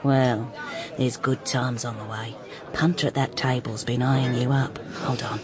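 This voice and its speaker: An actress playing a brash, cynical 1930s London streetwalker with a Cockney accent and an earthy, blunt way of talking, in a radio drama. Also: female voice, a bass voice, steady tone, a little slow, crowd noise. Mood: scornful, brash.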